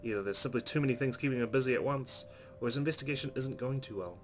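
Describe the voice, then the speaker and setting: A man reading first-person visual novel narration aloud as a let's player, in an earnest, expressive storytelling style. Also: mid-range voice, fast talker, a little hiss or hum. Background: soft game music.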